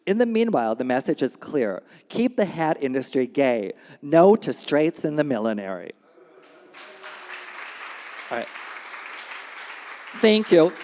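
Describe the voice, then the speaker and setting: A man's voice: a little high, sing-song, a little slow, pauses often, a little mellow, some chatter behind. A man hosting a live reading, speaking to the room informally and reading aloud from satirical writing. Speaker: host and reader at a live reading event. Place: indoor gallery event space. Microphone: handheld event microphone. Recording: reverberant hall live recording.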